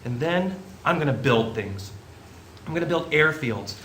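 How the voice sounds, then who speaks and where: Radio play lead: actor as restless, earnest young dreamer, bright forceful male voice, big swings in pitch, roomy hall sound. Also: measured pace. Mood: boastful, excited. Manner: earnest, excitable, exclamatory. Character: eager, restless young man dreaming of escaping his small town. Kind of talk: stage radio play acting. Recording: echoey theatre stage, faint noise.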